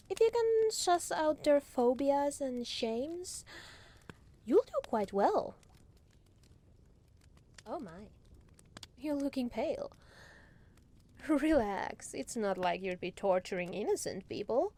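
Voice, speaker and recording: A woman's voice: high voice, a little mellow, exaggerated voice. A woman playing a proud, teasing demon lord in a roleplay ASMR monologue, casually regal and playfully menacing. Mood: mock threatening.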